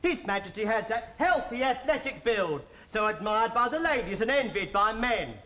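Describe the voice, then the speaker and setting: A man playing a fawning, excitable royal tailor in a period comedy, with a gushing, theatrical manner. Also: sits high, moderate pace. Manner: gushing, obsequious, effusive. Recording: dull, echoey, noisy recording with crowd.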